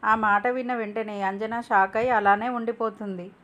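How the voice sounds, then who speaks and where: A woman's voice: a little high, fairly even intonation, moderate pace, a bit bright, muffled recording. A woman storyteller giving scripted narration of a moral tale.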